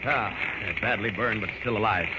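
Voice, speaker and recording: An actor playing a heroic, resolute superhero, urgently thinking aloud in 1940s radio serial style. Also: male voice, on the low side, moderate pace, close to an everyday voice. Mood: urgent, determined. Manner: dramatic, urgent self-narration. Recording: noisy, dull old radio recording.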